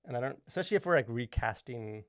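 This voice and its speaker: A man's voice: mid-range voice, expressive, fast talker, no room sound, clean studio sound. A man co-hosting a podcast, talking casually in a rambling way, full of fillers and restarts. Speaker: podcast co-host. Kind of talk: casual chat.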